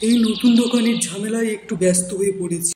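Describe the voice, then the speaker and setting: A man's voice: high voice, fast, a little mellow, slight background noise, natural voice. A man playing a genial, easily swayed village headman in a cartoon, in an agreeable conversational way. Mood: agreeable, puzzled. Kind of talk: cartoon moral-story dialogue. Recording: echoey room sound with faint music.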